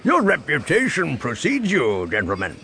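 A man acts out a massive alien crime lord from a space adventure audiobook. The baritone is resonant and courtly, full of smug amusement, and it swings grandly through each elaborate phrase. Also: wildly swooping pitch, normal speed, vocal fry, sharp tone, full character voice. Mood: suave.

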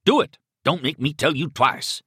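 A man's voice: lowish voice, big pitch swings, a little slow, bright, forceful tone, no room sound, studio quality, exaggerated voice. A man voicing a menacing male killer who taunts and commands in coaxing, sadistic slang. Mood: barking, furious.

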